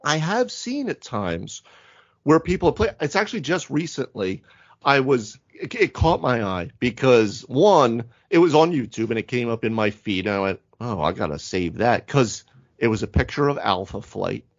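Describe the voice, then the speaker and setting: Animated male podcaster, lo-fi sound. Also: mid-range voice, moderate pace. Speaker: podcast host. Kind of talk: casual panel chat about tabletop games. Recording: dull lo-fi audio.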